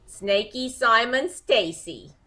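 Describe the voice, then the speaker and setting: A brassy, lovesick gun moll in old-time radio comedy style. The voice is high and swoops widely, at an unhurried pace. The delivery is slangy and melodramatic. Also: female voice, lively intonation, sharp tone, full character voice. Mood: indignant, gossipy. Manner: slangy, melodramatic, tearful outbursts over her love.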